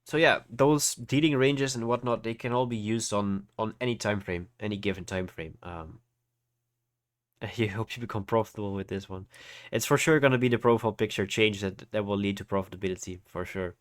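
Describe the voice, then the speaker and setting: Man, lowish voice, slightly stuffed-up nose, livestream teacher, informal explanations, frequent check-in questions. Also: moderate pace. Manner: informal, often checks understanding with "right?". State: congested from hay fever. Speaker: trading livestream host and teacher. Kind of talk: casual live tutorial with chat replies. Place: voice-over on an online livestream.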